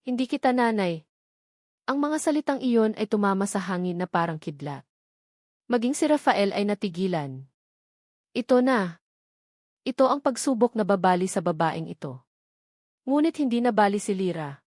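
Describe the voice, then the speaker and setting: A woman's voice: medium pitch, big pitch swings, normal speed, long pauses, darkish tone, no echo. Woman narrating drama, very dry sound. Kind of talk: scripted dramatic romance story narration.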